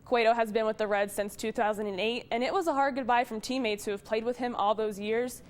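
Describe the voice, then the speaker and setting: Female TV sports reporter, scripted news narration, voice on the high side. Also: fairly quick.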